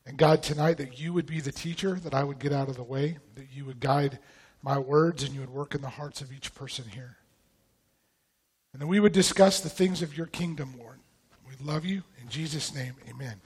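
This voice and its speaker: A man from Idaho leading prayer and teaching at church, slightly raspy from a recent vocal cord infection, talking in a relaxed, loose way with many ums. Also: mid-range voice, normal speed. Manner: relaxed, conversational, frequent ums and throat clearing. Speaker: pastor.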